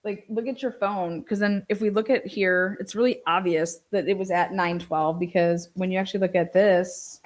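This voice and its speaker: A woman's voice: medium pitch, steady tone, normal speed, few pauses. Skeptical woman doing informal case commentary. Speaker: true-crime commentator. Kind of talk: informal case commentary, reading transcript aloud with asides.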